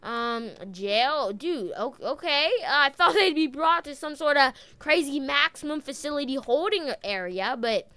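A high-pitched, bright voice giving gameplay commentary as a police officer in a roleplay game. The delivery is urgent, exclamatory and slangy, swinging widely in pitch. The recording is close and dry. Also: expressive, a little slow, few pauses, dry recording. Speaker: gaming video host playing a police officer.

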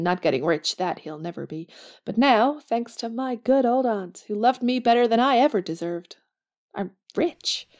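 A woman's voice: mid-range voice, natural voice. Actress doing a spirited young woman in a period radio play, earnest, plain-spoken, mid-range voice. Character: warm, plucky, idealistic young woman in love. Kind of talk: audio drama acting.

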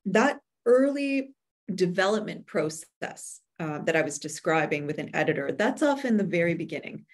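A woman in her forties with a fairly low voice talks as a guest expert on an online webinar. Her delivery is measured and instructive, and she is speaking over a video call from a room indoors. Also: lively intonation. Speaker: guest expert, book publisher. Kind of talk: explanatory webinar talk. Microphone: video call microphone.

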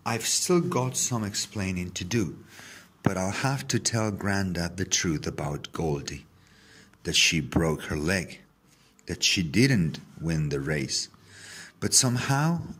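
A man reading a children's story aloud in the first person, with deliberate, dramatic phrasing. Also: low-pitched, expressive, a bit bright, husky. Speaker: story reader.

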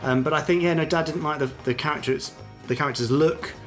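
A man co-hosting a podcast in a casual, self-mocking chat, quick to laugh. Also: on the high side, music in the background. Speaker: podcast co-host.

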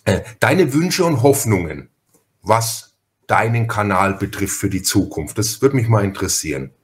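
Male podcast host, lowish voice, chatty and halting, conversational delivery. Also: expressive, a little hiss or hum. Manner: talkative, halting, repeats words.

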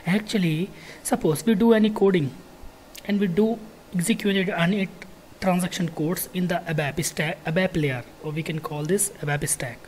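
A software trainer giving an informal technical lecture, explaining in a halting, repetitive way with frequent check words. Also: mid-range voice, slight background noise.

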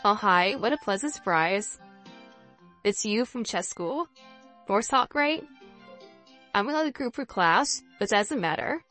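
A woman voicing a polite, thoughtful, inquisitive young engineer in a visual novel, with formal, measured phrasing. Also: medium pitch, lively intonation, a bit bright, faint music behind. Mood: pleasant, curious. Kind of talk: visual novel character dialogue.

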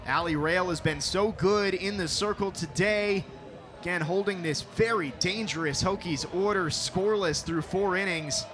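A young male announcer with a high, bright-toned voice calls the game with crisp broadcast polish. His delivery climbs in energy on the big plays, and music runs underneath him. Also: normal speed. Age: young adult. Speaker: sports reporter and play-by-play announcer. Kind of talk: televised softball play-by-play.

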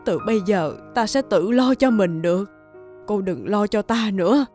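A woman playing a grieving, confused elderly peasant mother in an animated folk tale, halting and plaintive. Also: mid-range voice, lightly acted. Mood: sorrowful, resigned. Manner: plaintive, halting, repeating herself. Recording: music behind the voice.

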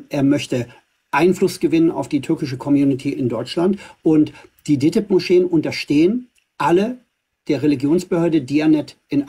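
A man's voice: fairly high pitch, mellow, slightly rough. A middle-aged man from Iran arguing assertively in a live debate, with frequent fillers, filmed by a phone camera up close. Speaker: livestream host. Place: indoors before a green screen.

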